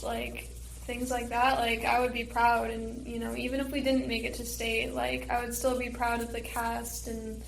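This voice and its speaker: Teen girl in an echoey room, highish voice, pretty flat, says like constantly. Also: normal speed, gravelly. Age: teens. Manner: filler-heavy, frequent "like". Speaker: high school theater student guest. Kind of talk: casual interview chat. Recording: echoey room with faint noise.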